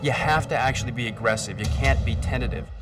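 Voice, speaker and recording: A man from the Bahamas, a rider on the tour, talking eagerly to camera about the ride ahead. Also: medium pitch. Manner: quick, eager, keyed-up. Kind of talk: interview to camera. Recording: distant mic over loud music.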